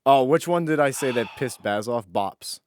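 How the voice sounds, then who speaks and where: A man's voice: mid-range voice, expressive, normal speed, slight background noise. A man in his twenties co-hosting a podcast in a blunt, informal, slangy way. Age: 20s. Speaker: podcast co-host. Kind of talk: casual podcast chat.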